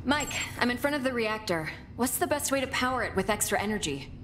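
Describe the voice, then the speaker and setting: A woman's voice: on the high side, animated, fairly quick, lightly acted. An actress playing a capable, dry-witted woman scientist in a sci-fi video game, speaking in a matter-of-fact, understated way. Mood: businesslike. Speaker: player character, scientist. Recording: clear game engine and effects noise.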